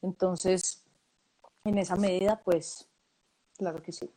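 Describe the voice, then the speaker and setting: A woman, a casting director giving relaxed, thoughtful interview answers with many fillers and restarts. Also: lowish voice, slow pace, rough recording.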